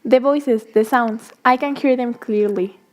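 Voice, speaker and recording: A woman's voice: on the high side, a little slow, a little mellow, close to an everyday voice. A woman playing a feverish, fearful wife who insists she hears phantom things, in a gothic radio drama. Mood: frightened, pleading.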